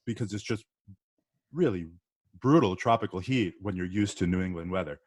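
A middle-aged man from the northeastern US, a podcast guest telling his story in a relaxed, chatty way with frequent ums and uhs. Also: low-pitched, normal speed, no room sound, studio quality. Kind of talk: casual interview storytelling.

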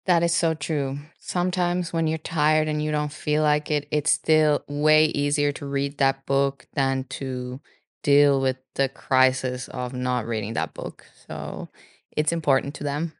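A woman from Finland in her thirties, a native Finnish speaker, co-hosting a podcast with calm, explanatory reading. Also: low voice, a little slow, clear, resonant tone, no room sound, studio quality. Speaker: podcast co-host. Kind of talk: scripted podcast narration.

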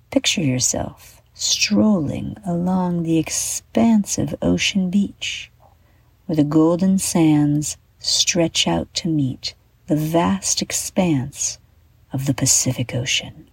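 A woman guiding a children's bedtime meditation in a soft, breathy half-whisper, gently and soothingly, with deliberate pauses. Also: sits low, no room sound. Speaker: meditation guide and show host.

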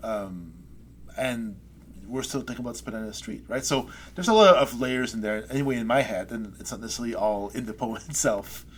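A man, a poet being interviewed, talking things through slowly with many restarts and trailing pauses. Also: mid-range voice, animated, a little hiss or hum, low-quality recording. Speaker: poet guest. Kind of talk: reflective interview chat. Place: Saskatoon resident.

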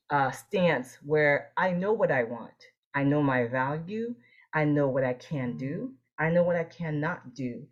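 A woman's voice: low-pitched, muffled recording. A woman from Haiti speaking earnestly and assertively in a conversational interview, repeating phrases for emphasis. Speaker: interview guest, optometrist and leadership coach.

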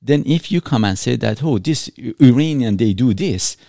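Man from Iran, podcast host, earnest, explains with examples, mid pitch, dry close sound. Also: expressive, moderate pace, creaky, warm tone, no echo. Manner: earnest, explains with examples, non-native phrasing. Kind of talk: reflective conversational podcast.

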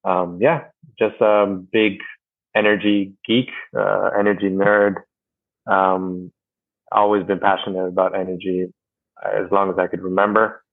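A young man from Rwanda and Canada, a guest on an interview, speaking thoughtfully with many pauses and fillers. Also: low-pitched, level tone, no echo. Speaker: guest, energy company manager. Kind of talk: casual interview talk. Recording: dry, phone-like line.

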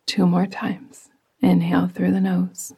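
A woman guiding a relaxation meditation in a soft, breathy, partly whispery voice with a soothing, gentle, unhurried manner. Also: lowish voice, completely flat delivery. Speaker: yoga nidra meditation guide.

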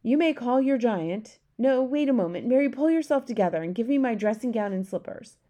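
A woman's voice: fairly high pitch, animated, a little fast, dark tone, voice pushed up, close to an everyday voice. A woman voicing a composed, regal older queen who speaks formally. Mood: poised. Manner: formal, poised.

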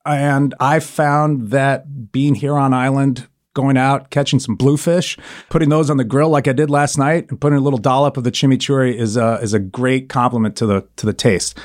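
A man's voice: medium pitch, fairly even intonation, normal speed. A man who grew up in Argentina and Italy, talking as a guest in a radio studio interview, friendly and rambling with lots of fillers. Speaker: guest, sauce company founder.

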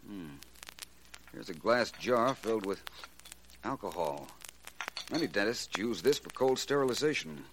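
A suave American gentleman sleuth from a 1940s radio drama. His low voice swings expressively as he speaks with polished, courteous assurance. The vintage recording is noisy. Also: expressive, measured pace, long pauses. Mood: assured, deductive. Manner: polished, courteous, unruffled deduction. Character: shrewd, self-assured gentleman private detective.

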